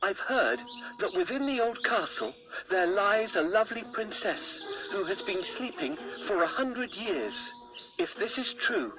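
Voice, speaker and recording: A man's voice: high voice, very animated, unhurried, music in the background, pitched above the performer's own voice, poor audio. A man voicing a brave, determined young prince in a storybook reading.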